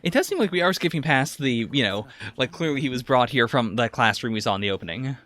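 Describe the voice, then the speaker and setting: Male podcast co-host: animated intonation, forward bright tone, casual and measured critique. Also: mid-range voice. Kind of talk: casual reaction commentary on anime.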